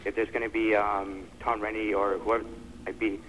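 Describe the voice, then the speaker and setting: A man calls in to a sports panel with a lowish voice. He rambles casually and hesitates. The line echoes, with faint noise. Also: flat delivery, brisk, a bit bright. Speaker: phone-in caller. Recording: echoing, faintly noisy phone call on broadcast.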